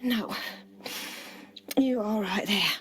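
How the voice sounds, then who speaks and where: An actress playing a ruthless London crime boss in a video game, talking with mock affection and condescension. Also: female voice, medium pitch, very slow, slightly rough, full character voice. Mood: cruel, condescending. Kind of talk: dramatic video game acting.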